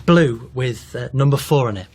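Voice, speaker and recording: A man acting an eager, hesitant long-lost son in a naturalistic radio drama, speaking haltingly. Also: medium pitch, a little mellow, breathy edge. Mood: careful, nostalgic. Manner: halting recollection.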